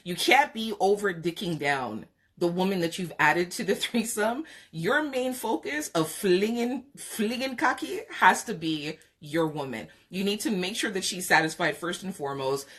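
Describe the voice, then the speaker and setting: A woman's voice: on the low side, animated, moderate pace. A woman with a Jamaican accent hosting a live podcast chat, giving frank, opinionated advice with lots of fillers. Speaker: podcast host. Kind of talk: live chat talk show, reading viewer comments.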